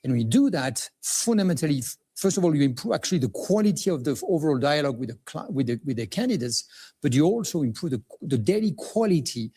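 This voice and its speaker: A man on a business panel speaking in a halting way, repeating words as he searches for phrasing. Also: a little high, very animated, warm tone, slightly rough. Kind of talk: panel discussion. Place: conference stage.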